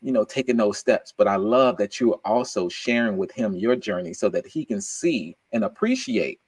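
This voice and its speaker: A man's voice: lowish voice, animated, moderate pace, darkish tone, slightly rough. A man hosting a podcast interview, upbeat and affirming, calling his guest brother. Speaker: podcast host. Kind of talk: casual interview.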